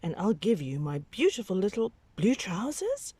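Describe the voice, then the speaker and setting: A woman's voice: medium pitch, huge pitch swings, moderate pace, dark tone, breathy edge, no room sound, voice pushed up. A woman voicing a meek, polite little boy who pleads and bargains in a sing-song way, in a children's storybook. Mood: pleading.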